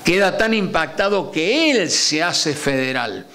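An older man with an Argentine accent lectures from a panel table, his voice sitting somewhat high for a man. He is animated, stretching key words out for weight and tossing in rhetorical questions. He speaks into a gooseneck microphone in a conference room. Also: measured pace. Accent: Argentine Spanish. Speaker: lecturer on constitutional history. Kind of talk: academic lecture.

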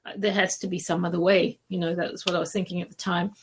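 A woman from Malaysia speaking as a podcast guest, telling stories casually with hesitant, conversational pauses. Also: on the low side, level tone, breathy edge. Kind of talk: casual interview storytelling.

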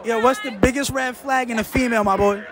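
A young man in his twenties holds a phone mic out to strangers on a nighttime boardwalk and banters in loud, slangy jokes. His voice sits very high for a man. A busy crowd murmurs all around him. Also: steady tone, fast. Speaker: street interviewer. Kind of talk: casual street interview.

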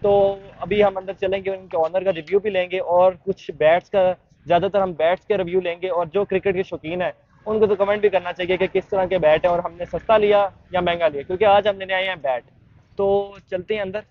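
A man's voice: sits high, fairly even intonation, brisk, muffled recording. A young man with a Pakistani Urdu accent, chattily guiding viewers through a sports market and shop. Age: young adult. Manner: chatty guide, frequent fillers and restarts. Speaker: vlogger. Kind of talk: walking market tour vlog.

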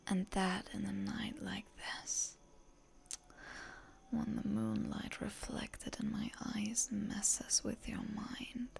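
A woman playing a seductive, predatory female vampire in a whispered roleplay ASMR, teasing and deliberate in a breathy voice. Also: mid-range voice, steady tone, a little mellow. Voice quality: breathy whisper with heavy creak.

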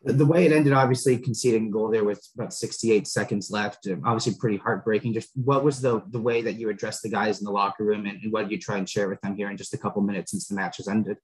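A man asking a coach long, measured questions at a press conference. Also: lowish voice, steady tone, husky, rough recording. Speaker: reporter.

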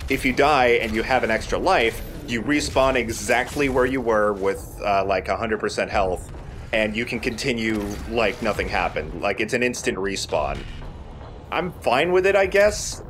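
A man's voice: on the low side, a bit bright, a little hiss or hum. A man hosting a let's play, talking casually as he comments on a video game.

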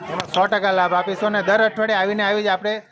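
A man's voice: high-pitched, little pitch movement, fast talker, a bit bright, a faint crowd. A man, listing shop offers in a slightly hesitant way inside a store. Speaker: shop staff. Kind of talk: interview answer. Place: shop interior. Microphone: reporter's handheld mic.

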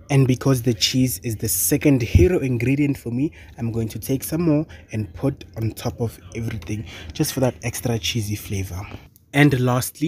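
A man's voice: mid-range voice, husky. A young man from South Africa with a South African accent, chatting through a recipe in his home kitchen and talking straight to viewers with lots of casual fillers. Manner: chatty, addresses viewers directly, many "you know" fillers. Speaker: cooking channel host.

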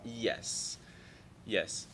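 A man's voice: extremely slow, background noise. A man in the audience asking a long question, hesitant and thinking aloud. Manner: hesitant, many fillers.

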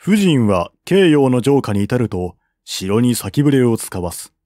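A man reading a historical novel aloud as scripted narration, in a formal, measured literary manner. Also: mid-range voice, sing-song, mellow, no room sound, clean studio sound. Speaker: audiobook reader.

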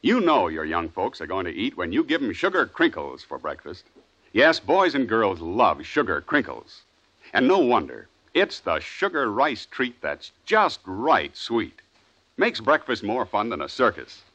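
American male announcer, 1950s radio style, bright polished sales delivery, swooping intonation, dull vintage sound. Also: on the low side, wildly swooping pitch, a little slow. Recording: dull old radio recording.

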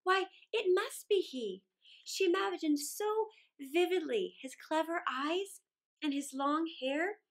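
Female storytime reader, middle-aged, very high and lively, unhurried, dramatic phrasing. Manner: theatrical, deliberate phrasing. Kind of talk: expressive read-aloud storytelling for children. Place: small plain-walled room indoors.

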